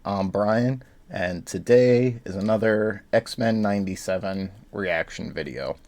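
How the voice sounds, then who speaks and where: A man with a slightly low, somewhat raspy voice chats casually to his viewers. He is stuffed up and sniffly from allergies, and he rambles along with plenty of fillers. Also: measured pace, darkish tone. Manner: easygoing, rambling with frequent fillers. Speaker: reaction video host. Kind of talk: casual chat to camera.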